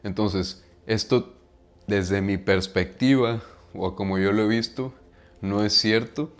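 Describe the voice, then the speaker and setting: Mexican man with a lowish voice. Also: slow pace. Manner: conversational, often tags sentences with a questioning "right?", uses slang and swears. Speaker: self-improvement vlogger. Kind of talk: casual monologue to camera.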